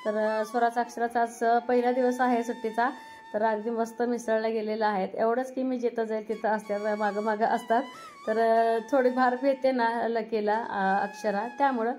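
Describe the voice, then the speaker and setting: A woman's voice: fairly high pitch, level tone, normal speed, darkish tone, soft background music. A woman with a rural Maharashtrian Marathi accent, chatting casually as she narrates a home cooking vlog. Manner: chatty, conversational. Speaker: family vlog host.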